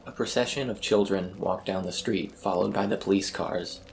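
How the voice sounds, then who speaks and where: Low, gravelly male horror narrator. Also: normal speed, slight background noise, low-quality recording. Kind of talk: scripted first-person horror narration. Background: eerie ambient music.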